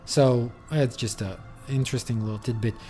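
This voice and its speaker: A man's voice: mid-range voice, steady tone, normal speed, dark tone, soft background music. A man streaming a game, talking casually and rambling, with lots of fillers and the odd swear. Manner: rambling, many fillers, loose and profane. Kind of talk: casual gameplay commentary.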